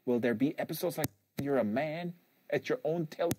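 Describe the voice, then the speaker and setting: A man voicing a bitter, rambling father who goads his tearful son in a halting monologue from a novel. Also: a little high, darkish tone, slight background noise, voice pushed up, lightly acted. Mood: bitter disgust. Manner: halting, repetitive, goading. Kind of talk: dramatic novel reading, monologue.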